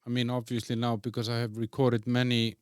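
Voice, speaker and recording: A man's voice: medium pitch, little pitch movement, fairly quick, clear, resonant tone, no echo, clean studio sound. A man from Iceland hosting a podcast in casual interview chat, speaking fluently and opinionatedly as he leads the questions. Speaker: podcast host.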